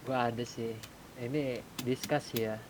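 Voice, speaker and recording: A man's voice: steady tone, measured pace. A man with an Indonesian accent talking casually and colloquially in a gaming group's online voice chat. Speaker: gaming group member in an online roleplay session. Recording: clearly noisy voice chat with rumble.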